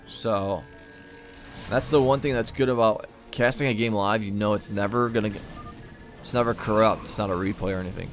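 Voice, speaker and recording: A man's voice: on the low side, steady tone, a little fast, soft background music. A man giving live commentary on a real-time strategy match in an easygoing, thinking-aloud way, and he is losing his voice. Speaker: game caster.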